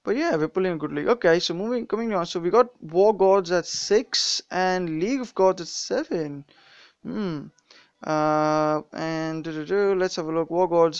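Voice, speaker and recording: A man's voice: fairly high pitch, smooth, no echo, muffled recording. Man with a mixed British-Indian accent. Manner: rambling, frequent fillers and restarts. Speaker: game streamer. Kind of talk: casual live-stream commentary.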